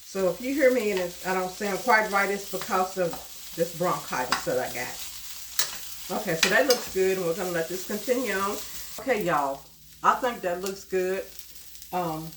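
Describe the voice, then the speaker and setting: A woman's voice: on the low side, moderate pace, background noise. Stuffed-up woman cooking, sizzling pan. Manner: chatty, homey, talks to viewers as y'all. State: stuffed up, nasal from bronchitis. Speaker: cooking channel host. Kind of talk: casual cooking vlog. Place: home kitchen at the stove. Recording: roomy kitchen with loud sizzling.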